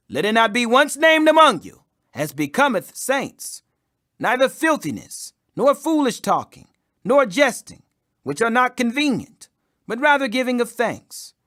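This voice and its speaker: A man reading the Bible aloud as a scripture reader, in emphatic, declamatory, measured phrases. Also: extremely high pitch, sing-song, fairly bright tone. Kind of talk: audio Bible reading, King James English.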